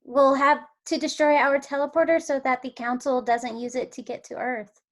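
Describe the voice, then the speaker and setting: Woman doing blunt dry space lady, high voice, table read. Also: little pitch movement, moderate pace, lightly acted. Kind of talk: table read of a comedy script.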